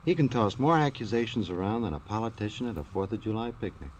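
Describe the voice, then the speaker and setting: Man as a deep, wisecracking American radio storyteller. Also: animated, quick pace, dull audio, lightly acted. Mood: wry. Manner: wry, slangy, playful. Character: wisecracking folksy male storyteller of detective tales. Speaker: narrator of a radio detective drama. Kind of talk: 1940s radio acting. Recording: old radio recording with background hiss.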